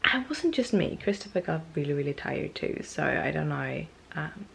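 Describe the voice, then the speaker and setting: Female vlogger, low and creaky, stuffed up and hoarse, chatty and meandering; faint background noise. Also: very animated, normal speed, a bit bright. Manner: rambling, with many ums and restarts. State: stuffy and losing her voice. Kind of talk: casual talking-to-camera vlog.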